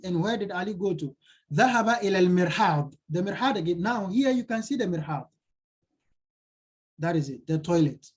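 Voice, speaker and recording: A man's voice: high-pitched, slightly rough. A male teacher explaining a textbook lesson, translating it line by line and repeating key phrases. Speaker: Arabic teacher.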